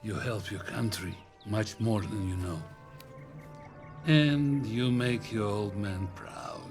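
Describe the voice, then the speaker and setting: Casting: proud older papa, gravelly, unhurried, measured and fatherly, wartime game drama with music underneath. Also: on the low side, very animated, heavily acted. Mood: proud, tender. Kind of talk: video game cutscene acting.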